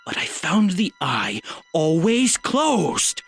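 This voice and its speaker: A mad, nervous murderer narrates a gothic horror audio drama in a slightly high male voice. The pace is very slow and halting. The delivery is intense and theatrical, determined to prove his sanity. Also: wildly swooping pitch, breathy edge, soft background music, full character voice. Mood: hushed, obsessive.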